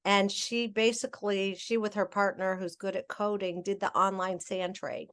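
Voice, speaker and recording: A middle-aged woman walks a group through her training slides. She talks in a loose, chatty way, full of fillers and restarts, at an ordinary middle pitch. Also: little pitch movement, moderate pace, a bit bright. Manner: chatty, many fillers and self-corrections. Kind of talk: training presentation.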